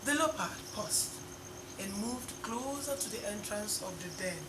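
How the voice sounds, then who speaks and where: A woman's voice: medium pitch, animated, a little slow. A woman narrating a bedtime story as a storyteller. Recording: clear insect and outdoor ambience noise.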